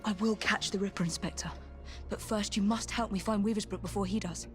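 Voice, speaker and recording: A woman's voice: mid-range voice, fairly even intonation, brisk, faint music behind, lightly acted. An actress playing a resolute, composed woman detective hunting a killer in a video game drama. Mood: determined. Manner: composed, determined.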